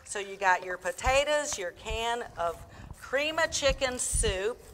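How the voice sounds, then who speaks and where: A bright, fairly high-pitched folksy housewife played by a woman chats her way through a live comedy cooking demonstration. She talks straight to the folks watching in a homespun way, over slight background noise. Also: expressive, a little slow. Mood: folksy, instructive. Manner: folksy, addresses audience as folks and y'all. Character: folksy, chatty Midwestern housewife hosting a cooking show. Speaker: cooking show host.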